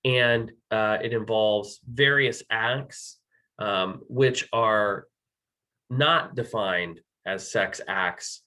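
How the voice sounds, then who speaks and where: A man with an American accent presenting a legal training, slightly hoarse and losing his voice, talking haltingly with many ums and self-corrections. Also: on the low side, fairly bright tone. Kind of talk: slide-based legal training.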